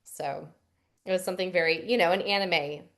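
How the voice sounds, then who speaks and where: A woman's voice: fairly low pitch, a little fast, a bit bright. A young adult woman, a voice actress answering interview questions in a chatty, rambling way with fillers and restarts. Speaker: voice actress guest.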